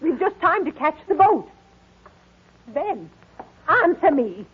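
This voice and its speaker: An actress playing a menacing, sly old woman criminal with a commanding, shrill delivery in 1940s radio melodrama style. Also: big pitch swings, slow, full character voice. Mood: alarmed. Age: older. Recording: noisy, dull old radio recording.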